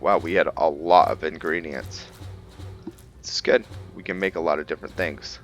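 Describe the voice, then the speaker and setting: A man with a low, forceful voice talks through his roleplay game playthrough at an unhurried pace. He thinks aloud in a halting, casual way, with music playing faintly behind him. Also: fairly even intonation. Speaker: gamer narrating a roleplay playthrough. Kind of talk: casual gameplay commentary with in-character lore explanation.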